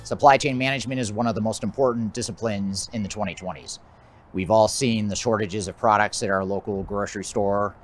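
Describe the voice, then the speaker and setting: An American man giving a measured, methodical explanatory presentation as a business consultant, his phrases often trailing off at the end. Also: lowish voice, steady tone. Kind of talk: explanatory presentation of a trends list.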